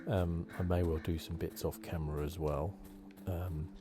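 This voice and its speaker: A soft-spoken man giving relaxed, mildly chatty ASMR commentary as he plays a video game. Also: low voice, moderate pace, background noise. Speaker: gaming channel host.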